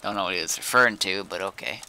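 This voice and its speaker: A man's voice: low voice, fast, pushed and bright, slight background noise. A man chatting casually and muttering to himself as he plays a game on stream. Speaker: streamer playing a zombie survival game. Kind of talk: casual gaming chat.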